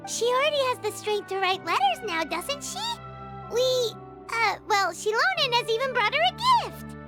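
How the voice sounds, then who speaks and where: A woman voicing a tiny, excitable, childlike fairy companion in a video game. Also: extremely high pitch, moderate pace. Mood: anxious, reassuring. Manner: speaks of self in third person, excitable. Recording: music behind the voice.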